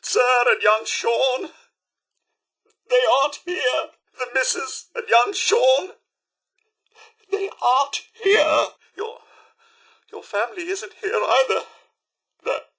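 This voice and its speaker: An actor playing a polite, fretful robot butler in a video game, with a British accent, prim formal diction and a raspy edge. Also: male voice, very high-pitched, expressive, slow pace, pauses often, pushed and bright, full character voice. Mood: grief-stricken. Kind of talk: video game companion dialogue.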